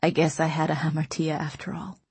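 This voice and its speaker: A woman narrating a novel in the first person, reading softly and intimately at a measured pace. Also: deep voice, level tone, breathy edge, no room sound. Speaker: audiobook narrator.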